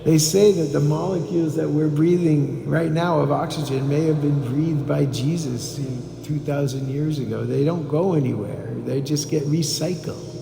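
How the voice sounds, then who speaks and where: A man leading a guided meditation as a teacher, giving calm, deliberate instructions with pauses. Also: on the high side, gravelly. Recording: echoey hall with background noise.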